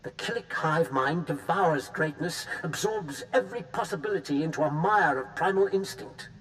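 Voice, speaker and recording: High-voiced, bitter imperial zealot. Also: male voice, lively intonation, normal speed, fairly bright tone. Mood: outraged, scornful. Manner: contemptuous, declamatory. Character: bitter, zealous male imperial supremacist diplomat. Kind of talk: scripted video game villain acting. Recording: faint noisy game ambience.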